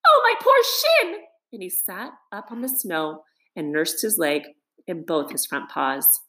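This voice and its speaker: Animated woman reading a storybook. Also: low voice, measured pace. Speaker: storybook reader. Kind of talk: scripted storybook narration.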